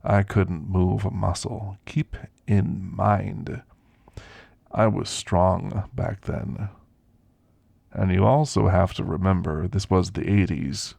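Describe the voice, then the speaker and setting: Elderly werewolf , male actor, reflective ASMR storyteller: husky, weathered rasp, unhurried folksy delivery, close and dry recording. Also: low-pitched, steady tone, darkish tone, no echo, exaggerated voice. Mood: wistful, reflective. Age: older. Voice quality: gravelly, husky. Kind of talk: ASMR roleplay monologue.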